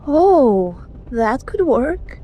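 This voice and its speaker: A woman's voice: sits high, very animated, drawn-out words, dull audio, a little hiss or hum, full character voice. A young woman voicing an earnest, meek, girlish friend in a webtoon fan dub. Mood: curious, earnest.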